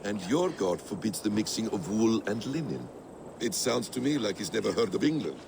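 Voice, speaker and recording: An actor playing a guarded, cunning mentor warrior in a video game, speaking in a measured, cryptic storyteller's manner. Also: male voice, fairly low pitch, sing-song, vocal fry, background noise. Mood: calm, probing. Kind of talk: dramatic video game acting.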